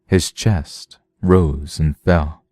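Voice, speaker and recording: A man narrating a scripted dramatic story in a measured, suspenseful manner. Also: extremely low pitch, steady tone, dark tone, dry recording.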